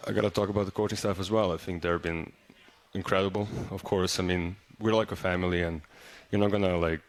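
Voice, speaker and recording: A young man with a low, deep voice answers interview questions in English, his native tongue being Serbian. He talks in a loose, easygoing way, full of "um" and "like," and often restarts his sentences. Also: fast talker. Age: young adult. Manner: relaxed, filler-heavy, frequent restarts. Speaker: college basketball player. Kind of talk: casual interview.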